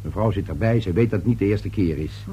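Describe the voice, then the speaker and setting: Actor as a low-voiced, irritable family father. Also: fast talker, dark tone, muffled recording, close to an everyday voice. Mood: startled, impatient. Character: irritable, domineering father and businessman, middle-aged or older. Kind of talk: radio play acting. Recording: hummy, noisy old radio recording.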